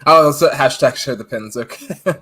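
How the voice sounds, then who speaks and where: A man's voice: medium pitch, brisk, fairly bright tone, slightly rough. A man in his thirties co-hosting a casual live-stream podcast from home, laughing often and reading the chat aloud. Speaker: podcast co-host. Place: home room, on video call.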